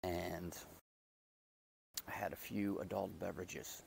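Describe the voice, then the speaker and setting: A man with a deep, gravelly voice that is a bit raspy after a loud night out. He chats in a loose, self-deprecating way, wandering from thought to thought. He is recorded on a lapel mic outdoors in a backyard garden. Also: fairly even intonation, slow pace, slight background noise, rough recording. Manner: rambling, self-deprecating, chatty. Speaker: gardening vlogger. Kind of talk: casual chat while doing garden chores.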